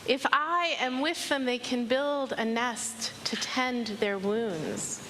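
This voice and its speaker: A woman's voice: on the high side, slow, pushed and bright. A woman voicing a timid, sorrowful female spirit in a storybook fairy tale, plaintive and hesitant. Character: Sadness, a timid, forlorn female spirit in blankets. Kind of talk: storytelling to an audience. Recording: reverberant hall, audible background noise.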